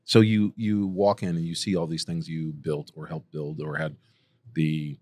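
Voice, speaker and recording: A man's voice: rumbling low, level tone, normal speed, darkish tone. A man hosting a podcast interview, chatty and joking, with enthusiastic questions. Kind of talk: casual interview.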